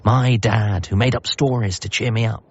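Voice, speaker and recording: A low-pitched, youngish British man narrates as an anxious, self-deprecating son. He is hesitant, wry and earnest, in radio comedy drama style. The sound is slightly muffled. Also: fairly even intonation, moderate pace, slightly rough. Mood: wistful. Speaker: narrator and lead character.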